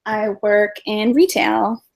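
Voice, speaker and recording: A woman in her twenties sounds upbeat and casual, sprinkling fillers through her talk. Her pitch sits in a middle range. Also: steady tone, slow, gravelly. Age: 20s.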